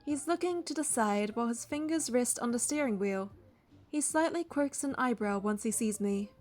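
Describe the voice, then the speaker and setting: A woman's voice: a little high, animated, normal speed, a little mellow, soft background music. A woman reading a video game's story text aloud, with casual asides as she plays.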